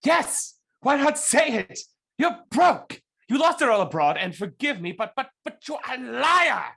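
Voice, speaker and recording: An actor playing a nervous, excitable young suitor in a period mystery radio play, with a stammering manner. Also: male voice, unhurried, creaky, slightly rough, exaggerated voice. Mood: frenzied accusation. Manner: stammering, high-strung.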